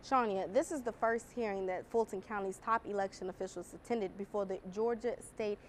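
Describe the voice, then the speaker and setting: A woman's voice: mid-range voice, fairly quick, slight background noise. A woman with an American accent reads scripted narration as a television news reporter.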